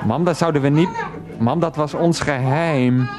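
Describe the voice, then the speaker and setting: An actor playing an exasperated middle-aged husband and son in a radio play, flustered, repetitive and placating. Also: male voice, fairly high pitch, a little slow, over music, a crowd in the background, lightly acted. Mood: pleading.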